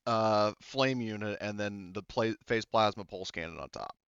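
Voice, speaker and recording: Halting game master man, smooth dry voice. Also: fairly low pitch, fairly bright tone, no echo, muffled recording. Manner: halting, explaining rules with many fillers. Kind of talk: running a tabletop game.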